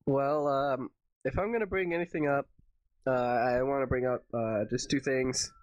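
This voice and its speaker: A man with a cold, his voice stuffed up and nasal, chatting on a podcast. He pauses often on "uh" and "um". The recording is dry and close. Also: medium pitch, no echo, dull audio. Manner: hesitant, halting with fillers. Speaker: podcast co-host. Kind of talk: casual podcast chat.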